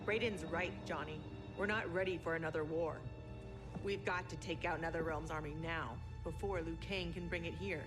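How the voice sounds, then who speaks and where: A woman acting as a stern American military general in a video game cutscene. Her middle-aged voice sits on the low side, formal and clipped, used to giving orders, with music clearly behind it. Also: fairly even intonation, normal speed, natural voice. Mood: firm, serious.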